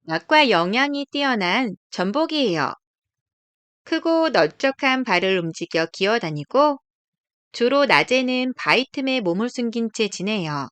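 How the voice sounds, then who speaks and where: A woman reading a children's nature picture book aloud, explaining gently to young listeners. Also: fairly high pitch, animated, unhurried, clear, resonant tone, no room sound.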